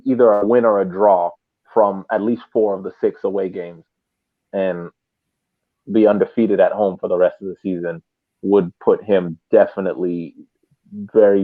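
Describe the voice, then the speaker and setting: Over a thin, phone-like connection, a man with a fairly low voice discusses sport casually. He is a deliberate panelist who takes care to spell out what he means. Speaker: podcast panelist.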